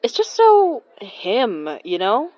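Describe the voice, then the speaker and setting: A woman's voice: fairly high pitch, huge pitch swings, slow, a little mellow, highs cut off, exaggerated voice. A woman playing a grumbling, skeptical young woman in a naturalistic audio drama. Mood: exasperated. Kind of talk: naturalistic horror fiction podcast acting.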